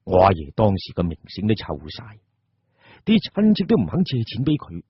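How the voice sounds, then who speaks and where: Animated husky Cantonese male storyteller. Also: on the low side, normal speed, no echo, highs cut off. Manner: expressive, theatrical. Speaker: narrator. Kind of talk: dramatic scripted storytelling narration.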